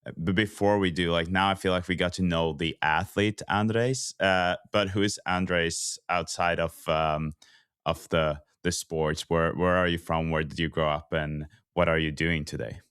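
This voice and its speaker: A male podcast host with a very deep, resonant voice, captured dry and close. He chats his way toward each question, repeating first words and circling before he gets there. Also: fairly even intonation, a little slow, fairly bright tone, smooth, no echo. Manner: stammering starts, rambling lead-ins to questions. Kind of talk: conversational interviewing.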